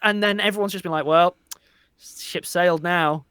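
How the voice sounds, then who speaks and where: A man's voice: sits high, steady tone, moderate pace, pushed and bright, smooth, no echo. A man chatting casually as an interview guest, earnest and wryly self-deprecating. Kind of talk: casual chat.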